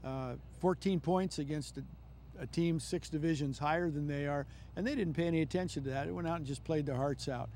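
A man's voice: fairly high pitch, fairly quick, darkish tone, slight background noise. An older man acting as coach analyst on a post-game football show outdoors at night, speaking measuredly into a handheld mic with frequent fillers.

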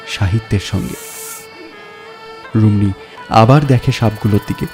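A man narrating a crime story in a measured, suspenseful, dramatic storytelling style. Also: medium pitch, a little mellow. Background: background music.

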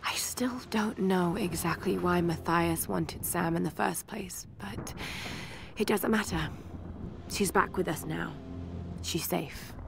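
An actress playing a shaken but determined young adventurer, narrating her survival journal in a game with a breathy voice that often drops toward a whisper. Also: fairly low pitch, slow, background noise. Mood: worried, reflective. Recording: music behind the voice.

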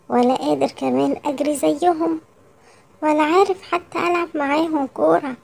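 A woman's voice: high-pitched, creaky, a little mellow. A woman voicing a greedy, whiny, chubby young lion cub boy with an Egyptian accent for a children's cartoon story. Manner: childlike, emphatic repetition.

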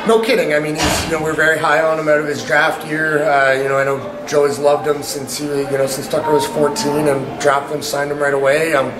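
A man in his thirties, a hockey head coach, answering post-game media questions in halting, repetitive phrasing. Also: a little high, level tone, echoey room, rough recording. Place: indoors in a small media room before a sponsor backdrop.

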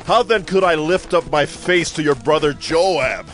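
A man's voice: fairly high pitch, lively intonation, a little slow. A man playing an earnest, pleading army commander in a dramatized scripture audio drama, speaking in declamatory appeals. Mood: urgent pleading. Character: battle-weary male army commander, urgent, pleading warrior. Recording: dramatic music behind the voice.

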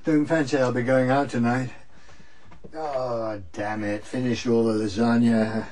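An actor playing a gruff, weary, affectionate older English husband and father in a radio drama, with blustery old-fashioned turns of phrase. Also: mid-range voice, flat delivery, measured pace, a little mellow, lightly acted. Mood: fond, resigned. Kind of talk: naturalistic radio drama acting.

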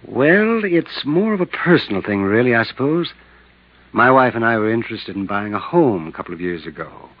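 An American man playing a quiet, courteous middle-aged insurance agent in a 1950s radio drama, polite, mild and a little hesitant. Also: mid-range voice, very animated, moderate pace. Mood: uneasy. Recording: dull lo-fi 1950s radio recording.

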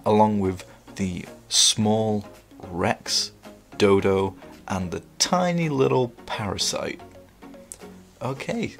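A man's voice: on the low side, gravelly. A man with a cold and a congested voice, chatting enthusiastically while reviewing toys at a table indoors. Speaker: toy reviewer. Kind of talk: casual toy review. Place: indoors at a table, hands on camera.

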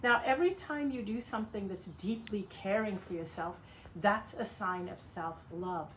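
An older woman from Hong Kong talking earnestly to camera in her sunny backyard garden, gesturing as she speaks. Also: mid-range voice. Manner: warm, earnest, gestures a lot with her hands. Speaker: self-help speaker. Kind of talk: casual talk to camera. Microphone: phone camera at a few feet. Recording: distant outdoor mic with ambient noise.

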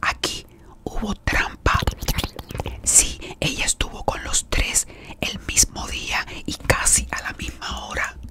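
Whispering woman in an ASMR roleplay. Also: slow. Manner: gossipy whispering, talking to an unseen caller and a client. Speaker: beautician in a roleplay.

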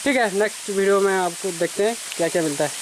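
A young man from Odisha, India, vlogging excitedly as he hikes through a forest to a waterfall, filming on a phone at arm's length. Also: high-pitched. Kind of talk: casual vlog narration while hiking. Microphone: phone held at arm's length. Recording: noisy outdoor phone recording. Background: rushing water.

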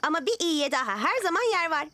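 A woman's voice: sits high, sing-song, quick pace, heavy background noise. A woman voicing a spirited, sensible little girl superhero in a dubbed children's cartoon. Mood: curious, friendly. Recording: loud music behind the voice.

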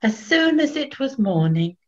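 Slow, solemn older woman reading. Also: a little high, big pitch swings, highs cut off. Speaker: narrator, scripture reader. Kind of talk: measured scripted scripture reading. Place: small plain room at home.

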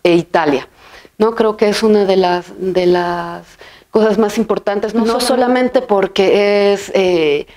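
A woman in her thirties, a filmmaker from Bolivia, sits in a bright room and answers an interviewer's questions. Her voice sits in an ordinary middle pitch. She speaks reflectively, pausing on fillers and tagging her thoughts with a soft questioning "right?". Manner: thoughtful, many restarts and fillers, ends phrases with "¿no?". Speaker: filmmaker and writer. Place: bright room with a plain wall, seated in an armchair.

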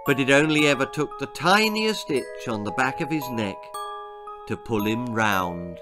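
Older male storyteller, theatrical and deliberate, over music. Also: mid-range voice, lively intonation. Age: older, about 60s or 70s. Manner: theatrical, deliberate delivery with drawn-out key words. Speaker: author reading his own story aloud. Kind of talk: dramatic children's horror-comedy storytelling. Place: book-lined room indoors. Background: background music.

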